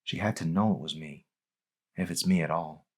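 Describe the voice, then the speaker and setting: A man voicing a troubled, grieving young grandson in an audiobook, gentle, subdued and hesitant. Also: low-pitched, moderate pace. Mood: uncertain, inward. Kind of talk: audiobook character voice.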